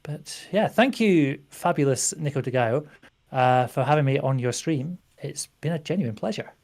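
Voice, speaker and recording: A man's voice: fairly high pitch, animated, normal speed, gravelly, darkish tone. A man from the UK, a guest on the stream, chatting enthusiastically about his channels. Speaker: guest, tabletop game YouTuber. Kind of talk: casual chat.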